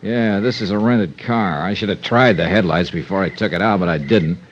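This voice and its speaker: An actor playing a confident, wry American insurance investigator in a 1950s radio detective drama, smooth and persistent. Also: male voice, deep voice, normal speed. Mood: casual, needling. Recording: dull old radio recording.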